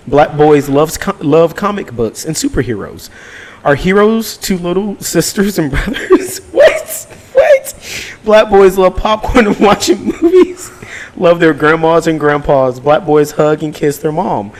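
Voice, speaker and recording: Animated, high-pitched man, giggly and profane. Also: slow. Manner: giggly, stumbles while reading, profane. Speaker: podcast co-host. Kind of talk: casual chat, reading aloud mockingly.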